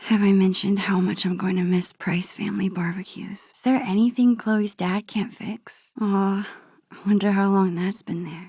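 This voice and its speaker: Sentimental, shy teen girl, video game inner monologue. Hushed, husky-soft delivery, medium pitch, dry studio sound. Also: dry recording. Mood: musing, then fond. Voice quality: soft, breathy, whispery. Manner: gentle, musing, talking to herself. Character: teenage girl, shy, wistful, nerdy and sentimental. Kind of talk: introspective game character monologue.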